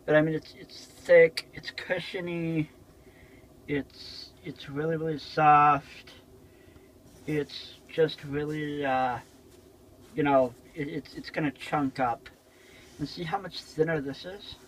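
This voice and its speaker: A man's voice: fairly high pitch, fairly even intonation, takes it slowly, a little hiss or hum, low-quality recording. A man giving a casual, unscripted vlog tour, explaining things in a rambling, repetitive way on amateur handheld camera audio. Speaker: vlogger showing his motorhome. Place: small motorhome bathroom, indoors. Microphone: handheld camera or phone, held by speaker.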